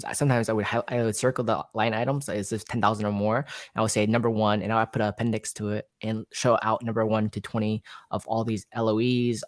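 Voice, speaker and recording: A man's voice: fairly low pitch, no room sound, studio quality. A man hosting a casual talk about mortgages, talking in long, loose, rambling sentences. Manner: conversational, rambling with restarts. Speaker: podcast host. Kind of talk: casual conversation.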